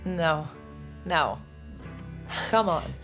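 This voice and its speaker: A woman's voice: deep voice, lively intonation, drawn-out words, a bit bright, soft background music, full character voice. A young woman with an American accent playing an anxious, incredulous lover in an audio drama, talking in a casual, blunt, emotional way. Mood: distraught. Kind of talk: naturalistic audio drama acting.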